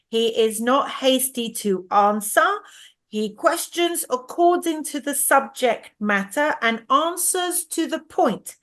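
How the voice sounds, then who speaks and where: A woman's voice: high voice, a bit bright. A female performer playing an earnest, dutiful young boy in a stage drama, with careful delivery. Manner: careful recitation.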